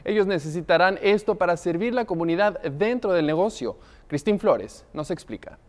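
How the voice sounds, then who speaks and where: A man news anchor reads scripted broadcast copy in a fairly high voice. The recording has a long reverb around his voice. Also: animated, normal speed, a little mellow. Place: TV news studio.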